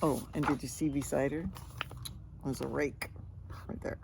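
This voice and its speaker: A woman's voice: rumbling low, fairly even intonation, moderate pace, gravelly, noisy background. A woman reading a children's picture book aloud with chatty asides, stretching words and sound effects expressively.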